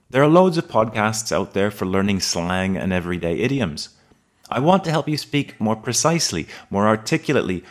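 A man hosting a podcast for advanced English learners, reading a scripted episode in a measured, articulate way. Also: lowish voice. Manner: measured, articulate, precise delivery.

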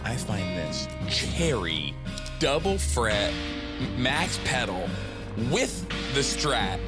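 Guy riffing haltingly, repeats himself, average pitch, music blasting behind him. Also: very animated.

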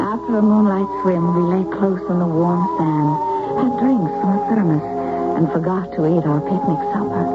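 A woman's voice: mid-range voice, phone-quality sound, close to an everyday voice. A woman playing a lovesick, intense young woman who tells of her doomed romance in a dramatic, confessional radio drama style. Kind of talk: old-time radio drama, first-person narration and dialogue. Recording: thin old radio broadcast, music behind.

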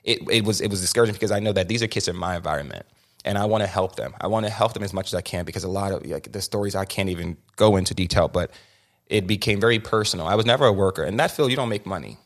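A young man hosts a candid sit-down conversation, speaking very quickly. He pushes his points hard, stumbling into restarts and doubled words as his thoughts race ahead. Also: deep voice. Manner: rapid, emphatic, with many restarts and repeated words. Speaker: podcast host.

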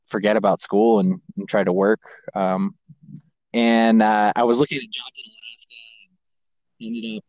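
A man's voice: on the low side, a little mellow, dry recording, low-quality recording. A man in his twenties, an American who grew up abroad, telling personal stories casually as a podcast guest. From American who grew up in Kazakhstan and Japan. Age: 20s. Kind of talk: casual personal storytelling in an interview.

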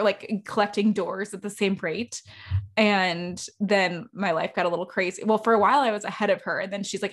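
Chatty self-deprecating woman podcast host. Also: mid-range voice. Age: 30s. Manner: chatty, self-deprecating, quick run-on talk. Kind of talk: casual interview chat.

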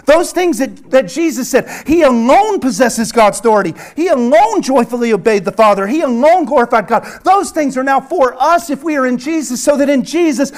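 A man from the American South preaching a sermon with a Southern accent, earnest and emphatic, repeating phrases and dropping at times to a hush. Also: very high-pitched, expressive, brisk, few pauses. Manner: swings from hushed near-whisper to loud emphatic preaching. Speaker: pastor.

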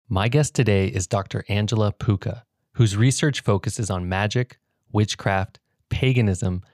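A man's voice: fairly low pitch, darkish tone, no echo, studio quality. A man hosting an interview podcast, talking in a hesitant way with many ums and restarts. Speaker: podcast host. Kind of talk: conversational interview.

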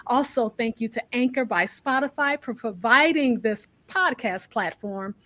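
High-pitched woman hosting a storytelling show. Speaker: radio show host.

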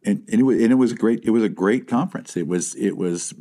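A man with a deep voice co-hosting a podcast, chatting casually and joking dryly. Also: quick pace, warm tone. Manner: joking, dry teasing. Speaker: podcast co-host. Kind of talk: casual chat.

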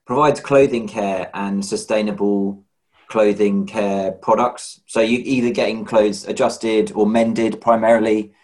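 Lower-voiced male podcast host, hesitant. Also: fairly even intonation, measured pace, darkish tone, low-quality recording. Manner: hesitant, repeats words while framing questions. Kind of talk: conversational interview.

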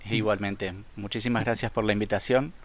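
A man's voice: lowish voice, fairly even intonation, brisk. A man in his forties with an Argentine accent blended with Spain's Spanish, hesitant and full of fillers as a podcast guest. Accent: Argentine Spanish, softened by years in Spain. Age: 40s. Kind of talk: podcast interview.

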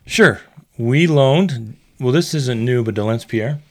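A man's voice: mid-range voice, takes it slowly. A man hosting a sports podcast, chatting casually and running through player news. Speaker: podcast host. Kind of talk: casual chat.

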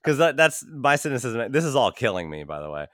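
A man's voice: medium pitch, big pitch swings, fairly quick, fairly bright tone, no echo, clean studio sound. A man chatting casually as a podcast host in informal, slangy language. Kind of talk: casual chat.

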